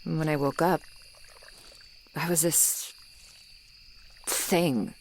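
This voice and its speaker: Swamp monster girl, played by a woman for ASMR roleplay: low-voiced, unhurried, guarded and gruff over hidden loneliness. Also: steady tone, darkish tone, slight background noise, exaggerated voice. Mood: wistful, sad. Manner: grudging, guarded, halting, close and intimate delivery. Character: gruff tsundere female swamp monster, once human, lonely. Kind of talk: ASMR roleplay, acted monologue to the listener. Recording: swamp ambience of crickets and frogs. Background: insects and frogs, swamp night ambience.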